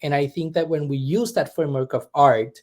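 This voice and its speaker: A young man from Puerto Rico, a humanist chaplain being interviewed, who talks in a reflective, searching way with many pauses and asides. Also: fairly high pitch, a little mellow. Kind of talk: conversational interview.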